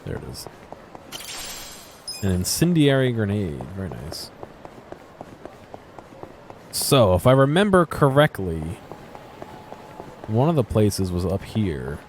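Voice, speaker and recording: A man giving casual, off-the-cuff commentary as he explores a video game, low-key and musing to himself. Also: very animated, takes it slowly, pauses often, slight background noise. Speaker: gaming video host.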